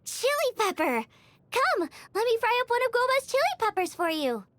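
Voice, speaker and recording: A woman voicing a bubbly, eager teenage girl chef in scripted video game lines, perky, earnest and expressive. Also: extremely high pitch, moderate pace, no room sound, exaggerated voice. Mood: enthusiastic.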